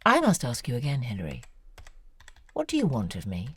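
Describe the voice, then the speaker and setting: A cool, wry woman novelist with a very low voice. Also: huge pitch swings, husky, heavily acted. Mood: suspicious. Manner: measured, wry and probing. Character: poised older woman novelist, cool and probing. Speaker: author character. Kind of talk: audio drama acting.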